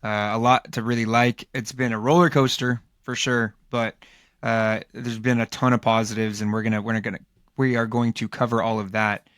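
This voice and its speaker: A man with a hoarse, worn voice co-hosts a casual sports podcast. He rambles and restarts, piling up fillers at a middling pitch. The recording is close and dry. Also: flat delivery, moderate pace, a bit bright, no echo. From Los Angeles area, United States. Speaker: podcast co-host.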